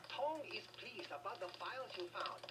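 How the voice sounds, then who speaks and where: An actress playing a solemn aide in a secret Hong Kong compound in a video game, on a noisy distant capture. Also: female voice, mid-range voice, big pitch swings, normal speed, natural voice.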